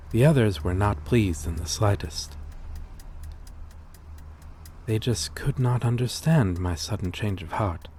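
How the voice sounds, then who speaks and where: A man playing a gentle, weary, lonely vampire in an intimate ASMR roleplay, speaking in hesitant, formal, confessional phrases. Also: on the low side, heavily acted. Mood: sad, resigned. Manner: hesitant, confessional, formal old-fashioned wording.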